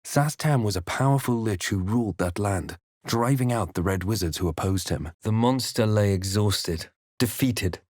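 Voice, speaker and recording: Brooding male game hero, played by a man, grave storyteller delivery, lowish pitch, close dry sound. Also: normal speed, a little mellow, dry recording, close to an everyday voice. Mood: grave, dramatic. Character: male adventurer hero, composed, brooding, recounting his journey. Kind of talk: video game voice lines, dramatic first-person narration.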